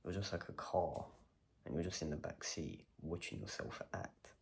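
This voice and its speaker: A man's voice: sits low, big pitch swings, moderate pace, gravelly. A man vlogging a personal video diary, talking in a reflective, rambling way and questioning himself as he goes.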